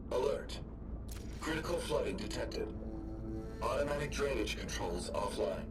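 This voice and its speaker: A man voicing an impersonal facility computer in a video game, making formal, flat emergency announcements. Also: mid-range voice, unhurried, natural voice. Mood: impersonal alert. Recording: distant announcement with music behind.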